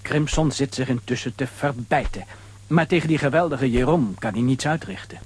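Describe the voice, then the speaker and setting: A man narrating a scripted children's adventure story with a husky voice. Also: medium pitch, moderate pace, darkish tone.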